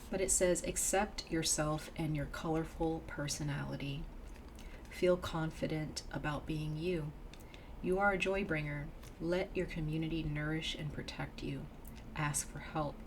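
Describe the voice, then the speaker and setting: A woman with a low voice talks through a tarot reading in a loose, chatty way, full of ums and you knows. She sounds a little nasal and sniffly from a cold. The recording carries clear background noise. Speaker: tarot reader. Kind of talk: casual tarot reading to camera.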